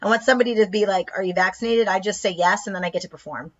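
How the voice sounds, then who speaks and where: A woman comedian co-hosting a casual live chat, animated and talkative, often saying "like". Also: medium pitch, rapid-fire, lo-fi sound. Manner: chatty, animated, lots of "like". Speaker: comedian co-host.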